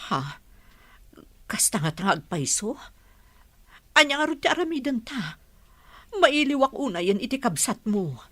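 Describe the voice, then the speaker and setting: A concerned, affectionate Ilocano mother with a fairly high voice, played by a woman. She speaks in the manner of a village radio drama. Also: big pitch swings, normal speed, pauses often, a bit bright.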